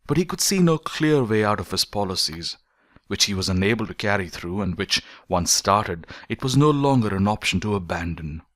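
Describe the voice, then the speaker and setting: A man reading a philosophical essay as an audiobook narrator, in measured, deliberate phrases with emphatic stresses. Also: fairly low pitch, big pitch swings, a bit bright, no echo, studio quality.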